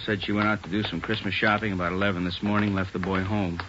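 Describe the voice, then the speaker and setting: A man's voice: low voice, little pitch movement, fast, close to an everyday voice. A male actor playing a plain-spoken, matter-of-fact American juvenile officer in a 1940s radio drama. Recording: dull noisy old radio recording.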